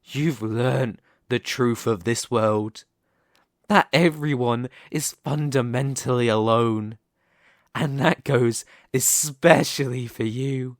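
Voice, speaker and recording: A man playing a smug, possessive demon king in an ASMR roleplay, speaking slowly and deliberately with a teasing, condescending manner. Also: medium pitch, no echo, studio quality. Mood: mocking pity. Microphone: close studio microphone, dry.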